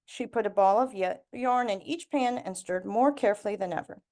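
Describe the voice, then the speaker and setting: A woman reading a children's storybook aloud expressively, with deliberate emphasis on repeated words and lists. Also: mid-range voice, darkish tone. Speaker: storybook reader.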